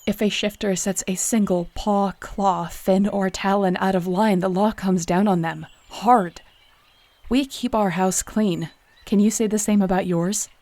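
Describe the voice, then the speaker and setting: A woman as a mellow-voiced, defiant young shifter heroine. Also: medium pitch, no room sound, natural voice. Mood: indignant. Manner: earnest, indignant, firm. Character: defiant, principled young female shapeshifter heroine. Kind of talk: dramatic audio roleplay acting.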